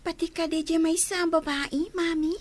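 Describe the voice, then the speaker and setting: A woman's voice: extremely high pitch, steady tone, moderate pace. A woman with a Filipino accent acting a sweet, eager young child in a radio drama. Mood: cheerful.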